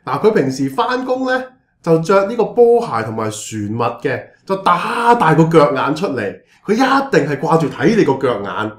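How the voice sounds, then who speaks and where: A preachy, scheming pastor from a comic radio play, acted by a man, speaks Hong Kong Cantonese with theatrical mock-righteousness. His pitch leaps about in animated exclamations, and the room adds an audible echo. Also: normal speed. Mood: scheming, insistent. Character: preachy, melodramatic, scheming male church pastor. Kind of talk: comedic radio drama acting.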